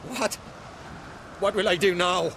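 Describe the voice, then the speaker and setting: Casting: haunted, disoriented island lord for a fantasy game, high voice, bewildered and halting. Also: male voice, fairly even intonation, vocal fry, bright, forceful tone, a little hiss or hum, full character voice. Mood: dazed, bewildered. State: disoriented, drained. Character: dazed, tormented male island lord freed from a curse. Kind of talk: video game voice acting.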